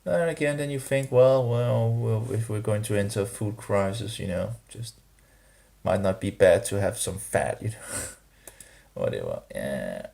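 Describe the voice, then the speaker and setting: A man talking through a rambling casual vlog, halting and full of restarts, with grumbling disapproving asides. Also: fairly low pitch, sing-song, vocal fry, warm tone. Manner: hesitant, halting, many restarts and fillers. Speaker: vlogger.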